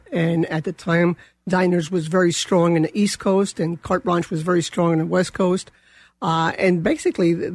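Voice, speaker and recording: A woman's voice: on the low side, vocal fry, darkish tone, husky, no room sound. An older woman from Colombia with a Colombian Spanish accent, a guest telling her career story in a rambling way with many fillers. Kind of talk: casual interview.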